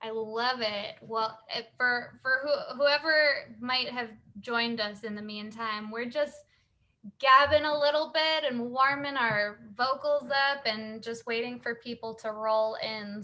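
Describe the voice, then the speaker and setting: Slow-talking, bright-voiced woman host. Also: fairly high pitch, fairly even intonation. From San Francisco Bay Area. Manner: easygoing, laughs often, trails off mid-sentence. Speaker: small business owner, workshop host. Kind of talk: casual live-stream chat.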